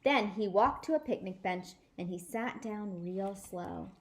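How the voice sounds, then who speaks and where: A woman storyteller reading a children's book aloud in an animated, emphatic way. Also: medium pitch, unhurried. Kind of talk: expressive children's book read-aloud in a child narrator's words.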